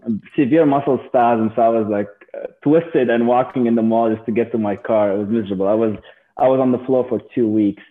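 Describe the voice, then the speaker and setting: A man from Lebanon, a physician guest in an interview, talking in a halting way with many fillers and restarts. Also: mid-range voice. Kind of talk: casual interview. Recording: tinny phone line.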